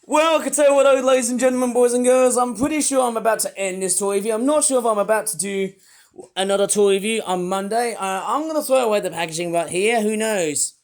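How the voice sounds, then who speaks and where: High-voiced man, playful toy review. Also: fast talker. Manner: playful, rambling, with sudden excited outbursts. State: voice not fully well. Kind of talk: casual toy review vlog.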